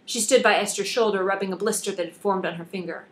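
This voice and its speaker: A woman's voice: mid-range voice, steady tone, fast. A woman author reading scripted narration from her own novel, audiobook style.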